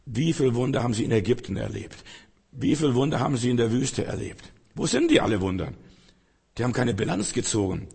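Hoarse, gravelly preacher. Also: male voice, medium pitch, lively intonation, brisk, darkish tone. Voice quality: raspy, gravelly. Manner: earnest, exhorting, rhetorical questions. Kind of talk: sermon. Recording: long hall reverb.

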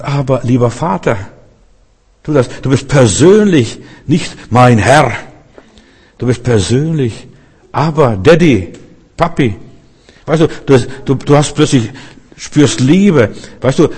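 A man in the middle of a Christmas sermon, speaking with fervor and turning again and again to the congregation with questions. His phrases come back two or three times for emphasis. His voice sits at an ordinary male pitch and trails a long reverb through the church hall. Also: darkish tone, slightly rough. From Berlin. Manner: fervent, repeats himself, asks the listeners directly. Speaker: preacher.

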